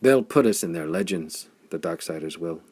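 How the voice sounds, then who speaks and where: Man playing a gravelly, battle-worn swordsman. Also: low-pitched, lively intonation, moderate pace, a little mellow, dry recording, heavily acted. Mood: wry resignation. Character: battle-worn, grimly cheerful swordsman hero of pulp adventure. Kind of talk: audiobook character voice.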